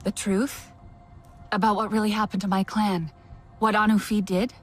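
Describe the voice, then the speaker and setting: A woman acts a young alien seeker in a video game. She sounds earnest and questioning at a middle pitch, a little unsure of herself, over faint music. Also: flat delivery, normal speed, natural voice. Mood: searching, earnest. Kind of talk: video game character acting.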